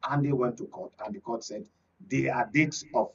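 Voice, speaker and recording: Husky-voiced Nigerian man on a discussion panel. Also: mid-range voice, expressive, moderate pace, far from the mic, dull audio. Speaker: panel guest. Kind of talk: political analysis in a panel discussion.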